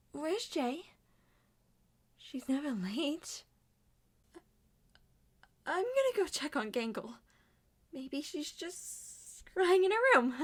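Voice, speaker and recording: Woman, ASMR roleplay lead; slow, gentle delivery, higher voice, moves between whisper and soft speech. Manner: soft-spoken, drifts between whispers and normal voice. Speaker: ASMR roleplay creator voicing all characters. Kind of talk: multi-character ASMR roleplay.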